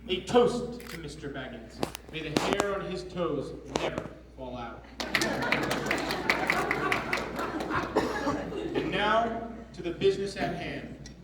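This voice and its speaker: A man's voice: on the high side, expressive, unhurried. A man playing a proud dwarf leader who rallies his company in a declamatory readers theatre style. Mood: jovial proclaiming. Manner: declamatory, commanding. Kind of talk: readers theatre stage performance. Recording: noisy distant mic in echoey room.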